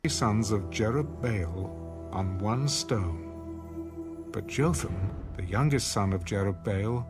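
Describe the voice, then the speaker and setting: Deep-voiced male scripture narrator over music. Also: expressive. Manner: measured, formal storytelling. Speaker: audio Bible narrator.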